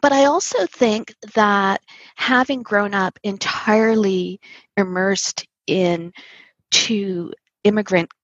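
A woman with a husky, breathy voice answers reflectively and weighs each word. The sound is dull and lo-fi, like a remote call, and very dry. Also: mid-range voice, dry recording. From Canada. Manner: thoughtful, measured, pauses to rephrase. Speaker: guest, social justice lawyer.

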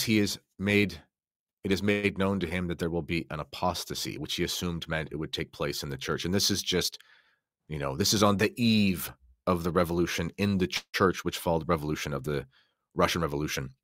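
A man's voice: deep voice, a bit bright, dry recording, clean studio sound. A man giving enthusiastic, explanatory commentary in a conversational podcast style. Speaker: podcast guest or co-host commentator.

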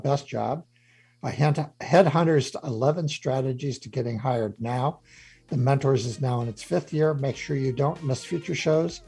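Radio host, male, gravelly and husky, casual interviewer, light music under. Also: mid-range voice, darkish tone. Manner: conversational, some restarts.

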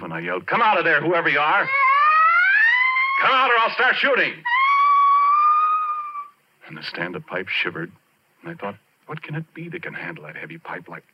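An actor playing a haunted, plain-spoken oil rig roughneck, telling his story haltingly and colloquially in 1940s radio drama style. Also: on the low side, heavy background noise. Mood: shouting, frightened, then tense dread. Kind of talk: 1940s radio drama acting, first-person narration. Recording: muffled vintage 1940s radio recording, organ-era music.

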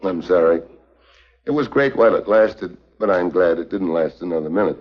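An actor playing a tough, wry American secret agent in a 1950s spy drama, clipped, deadpan and sardonic. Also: male voice, sits low, normal speed. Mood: cool, sardonic. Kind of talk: 1950s hard-boiled spy drama acting and narration. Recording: dull 1950s TV film soundtrack.

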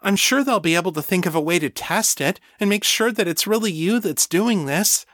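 A man's voice: high voice, expressive, moderate pace, fairly bright tone, dry recording, voice pushed up. An anxious woman from an audiobook, acted by a male narrator, pleading with her friend in a raised, swooping voice. She is earnest and urgent, hesitating as she warns, and the sound is close and dry.

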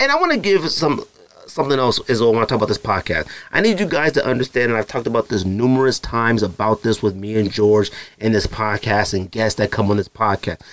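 A man's voice: mid-range voice, no echo. A married man hosting a sneaker podcast, talking casually and jokingly straight to his listeners. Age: adult, married. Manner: animated, joking, direct address to listeners. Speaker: podcast host. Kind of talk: casual solo podcast chat with ad read.

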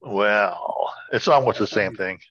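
A man's voice: fairly low pitch, slow pace, a bit bright, breathy edge. A man co-hosting a casual talk show, joking around with playful wordplay. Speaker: show co-host. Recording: dull lo-fi, roomy echo.

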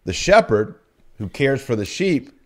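Animated man, swooping mid-range voice. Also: mid-range voice, measured pace. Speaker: podcast host. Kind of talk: conversational religious commentary.

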